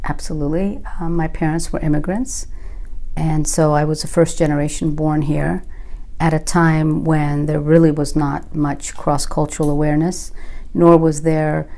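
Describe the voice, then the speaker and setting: A woman in her fifties from California, a native Sicilian and Italian speaker, giving measured, thoughtful oral history answers with frequent pauses. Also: deep voice, little pitch movement, dark tone. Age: 50s. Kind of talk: reflective interview answers.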